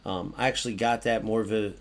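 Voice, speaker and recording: A young man with a raspy voice that keeps cracking, casually talking through a video game's trading market as a channel host, with lots of fillers and "right?" checks. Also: fairly low pitch, fairly even intonation, quick pace. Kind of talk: casual gameplay commentary.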